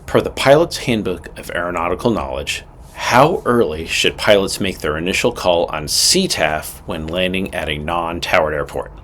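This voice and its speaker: A man with a fairly low voice presents a scripted instructional lesson. He sounds like an instructor, direct and matter-of-fact, leaning on his key points for emphasis. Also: lively intonation, normal speed, a bit bright, a little hiss or hum. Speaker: instructor presenting a pilot training video.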